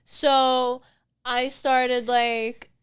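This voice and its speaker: A woman's voice: high voice, little pitch movement, extremely slow, smooth, dry recording, clean studio sound. A young woman guesting on a podcast, talking bluntly and rambling with lots of fillers. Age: young adult. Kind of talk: candid casual chat.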